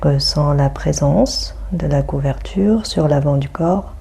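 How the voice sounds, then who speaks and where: A woman guiding a yoga nidra meditation in a soothing, hushed manner, leaving long pauses between phrases. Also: deep voice, gravelly, husky. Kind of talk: guided meditation.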